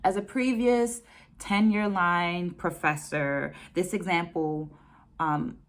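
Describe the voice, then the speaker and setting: A middle-aged American woman reflecting on a book for her viewers in a measured, deliberate way. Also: lowish voice, steady tone. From United States. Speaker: dance educator hosting a book discussion.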